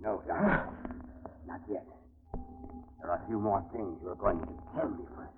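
Casting: man playing deep-voiced, menacing ex-con avenger for vintage radio drama, thin narrow-band sound with crackle. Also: moderate pace, natural voice. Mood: bitter, vengeful. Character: vengeful wronged ex-convict posing as cemetery watchman. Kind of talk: 1940s radio drama acting. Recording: scratchy, band-limited 1940s radio recording.

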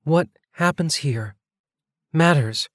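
An earnest young woman in an audiobook story as a man acts her out, with a raised, lighter pitch. She speaks directly and insistently, ready to challenge whoever she faces. The sound is dry. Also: very animated, normal speed, no echo. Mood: quiet, earnest.

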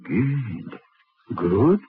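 An actor in an old radio drama playing a middle-aged, pompous, evasive anatomy professor, fussy and hesitant, then imperious. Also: male voice, on the low side, very animated, extremely slow, breathy edge, no room sound, heavily acted. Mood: furtive, shrewd. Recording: tinny, band-limited vintage radio recording.

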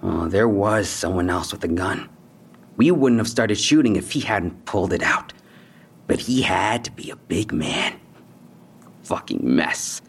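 A young man with a husky voice talks in slangy, defensive bursts. He postures as tough and dodges questions, with fear underneath, in a naturalistic audio drama. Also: deep voice, animated, unhurried, heavily acted. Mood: bitter, resentful. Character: defensive young robbery suspect, tough talk hiding fear.

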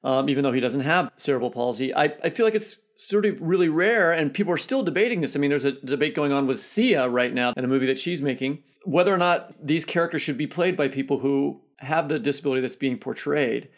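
A man's voice: mid-range voice, fast talker, hardly any pauses. A man hosting a conversational interview podcast, building long, wandering questions with frequent restarts.

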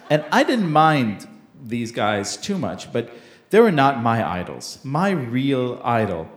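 A man from Israel telling a personal story live on a theater stage in a wry, deliberate way with pauses. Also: medium pitch, a little mellow.

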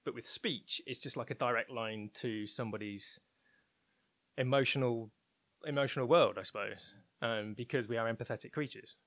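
A man's voice: mid-range voice, normal speed, a bit bright, dry recording. A man giving a conversational interview, thoughtful and hedging, often restarting his phrases. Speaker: interviewed research and development head.

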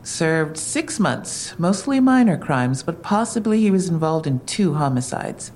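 Low-voiced, probing female detective. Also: sing-song, a little slow, a little mellow, a little hiss or hum. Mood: wry, businesslike. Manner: matter-of-fact, questioning. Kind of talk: audio drama acting.

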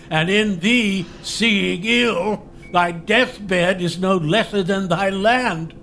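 A man's voice: high voice, fairly bright tone, exaggerated voice. An actor playing a dying old nobleman who mocks his own name and scolds the king, laughing bitterly through his lines in a classical stage style.

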